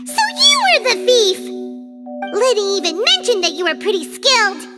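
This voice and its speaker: Squeaky indignant kid sidekick for a game, bouncy pitch, loud toy-like music behind. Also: female voice, sing-song, moderate pace. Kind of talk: cartoonish game acting.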